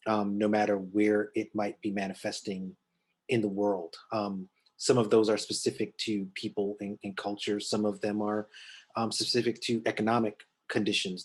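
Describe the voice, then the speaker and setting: Halting low-voiced New man. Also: breathy edge. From United States. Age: middle-aged. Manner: halting, many restarts and fillers. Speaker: panelist. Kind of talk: video call panel discussion. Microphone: video call.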